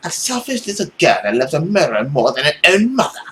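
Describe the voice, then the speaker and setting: A man voicing a menacing, domineering mother who accuses and commands with drawn-out scorn in a visual novel. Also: on the high side, very animated, pushed and bright, pitched above the performer's own voice. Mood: scornful. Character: menacing, scornful, domineering mother haunting her daughter's mind.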